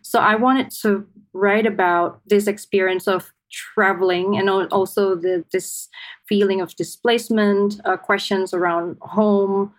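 A woman's voice: medium pitch, fairly even intonation, a little slow, poor audio. A woman from Indonesia with an Indonesian accent, talking casually and reflectively as an interview guest. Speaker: interview guest, writer and academic.

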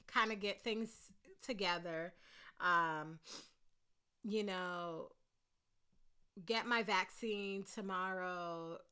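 A woman's voice: fairly low pitch, pushed and bright. A woman hosting her own podcast, chatting candidly and rambling with many fillers while congested and stuffy. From Caribbean. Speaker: podcast host. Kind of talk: casual solo podcast chat.

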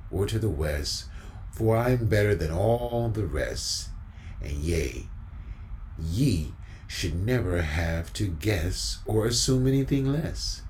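A man's voice: deep voice, darkish tone, slight background noise. A man reciting spoken-word poetry like a preacher, with a solemn, exhortative, rhythmic delivery and emphatic repetition.

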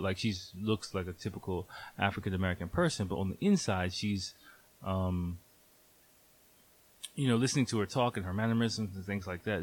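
Male podcast host, thirties, very deep voice, rambling and loose, swears now and then. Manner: rambling, loose, sprinkled with fillers and mild swearing. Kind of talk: casual solo podcast chat. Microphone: headphones on, home podcast mic.

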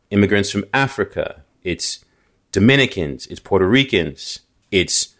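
Deep-voiced man telling stories animatedly. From New York. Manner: animated storytelling, frequent restarts and fillers. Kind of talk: casual conversation.

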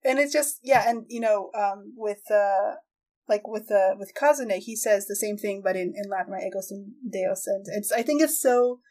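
A woman hosting a podcast in casual conversation, thinking aloud and softening her opinions as she goes. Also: medium pitch, moderate pace. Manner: tentative, hedging, thinking aloud. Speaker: podcast host. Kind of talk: casual chat about anime.

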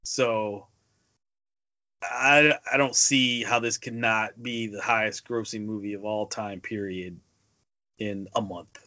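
A man's voice: fairly low pitch, steady tone, takes it slowly, sharp tone, dry recording. A man co-hosting a casual movie podcast, speaking emphatically and repeating himself to drive points home. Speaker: podcast co-host. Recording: dull lo-fi podcast audio.

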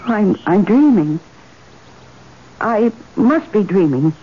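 An actress playing a lonely, timid, dreamy suburban wife who yearns for romance, with hesitant, emotional phrasing in an old-time radio drama. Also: female voice, medium pitch, very animated, slow, breathy edge, full character voice. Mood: bemused, wondering. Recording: muffled, noisy old radio broadcast.

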